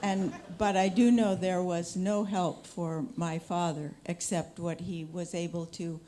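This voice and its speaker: An older woman, a journalist on a panel, answering a question frankly and in a measured way, with many pauses. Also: fairly low pitch, little pitch movement, mellow, slight background noise. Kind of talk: answering questions at a public talk.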